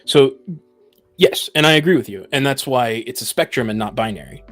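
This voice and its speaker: Guy debating on a podcast, kinda halting, repeats himself while working out his point, music underneath. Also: mid-range voice, animated, fairly bright tone, dry recording. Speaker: podcast panelist. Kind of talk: casual debate.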